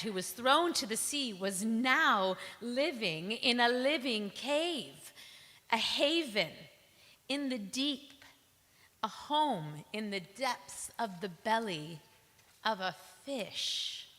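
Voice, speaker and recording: A woman giving a dramatic spoken word recitation, phrasing deliberately and theatrically with pauses. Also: a little high, animated, sharp tone. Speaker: reader in a staged ensemble performance. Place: large synagogue hall, live performance. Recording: reverberant hall.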